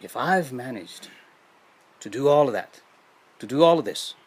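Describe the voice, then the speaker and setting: A man from Nepal with a Nepali accent and a husky voice, giving an earnest motivational speech with emphatic, deliberate phrasing and pauses. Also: mid-range voice, lively intonation. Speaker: education activist, motivational speaker.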